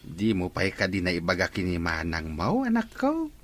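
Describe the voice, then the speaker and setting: A lowish, very animated actor's voice as a teasing, good-humoured father. He speaks with an Ilocano Filipino accent in an audio drama. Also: normal speed, exaggerated voice. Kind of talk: audio drama acting in dialogue.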